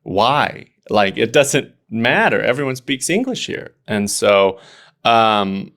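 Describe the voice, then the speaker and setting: A man's voice: medium pitch, sing-song, takes it slowly, a bit bright. Earnest young man chatting about comedy. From Oregon, United States. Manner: earnest, reflective, many fillers and restarts. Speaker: stand-up comedian, podcast guest. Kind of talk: casual conversation.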